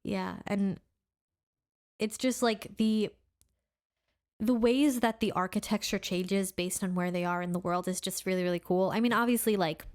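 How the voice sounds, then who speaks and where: A woman's voice: medium pitch, steady tone, normal speed, a little mellow. A woman co-hosting a podcast in casual chat, talking eagerly with lots of filler words. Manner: enthusiastic, heavy use of "like" and "really cool". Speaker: podcast co-host.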